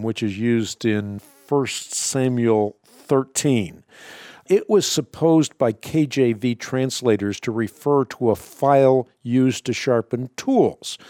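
A man whose first language is Dutch answers a radio caller. His voice sits in a middle pitch, and he lays out his points in a steady, authoritative, explanatory way. The sound is close and dry. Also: no echo. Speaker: radio Bible-answer host.

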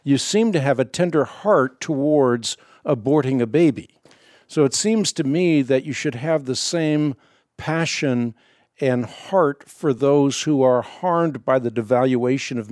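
A man's voice: mid-range voice, a little mellow, no echo, studio quality. A Dutch-born man with Dutch as his first language, hosting a call-in radio debate and pressing his caller with probing, argumentative questions. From Netherlands.

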